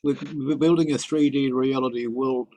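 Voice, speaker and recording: A man in a group discussion, speaking slowly and earnestly. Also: mid-range voice, fairly even intonation, darkish tone. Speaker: meeting participant.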